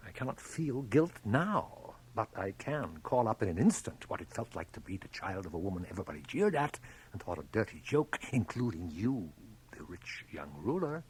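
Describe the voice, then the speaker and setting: A man's voice: fairly low pitch, sing-song, moderate pace, a little mellow. An actor playing a bitter, cultivated middle-aged man in a radio drama, theatrical, precise and mocking. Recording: noisy cassette transfer of radio drama.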